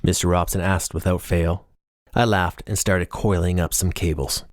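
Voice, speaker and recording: A man narrates a novel in the first person with a low-pitched voice. The sound is dry, with no room echo, as in an audiobook reading. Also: steady tone, normal speed.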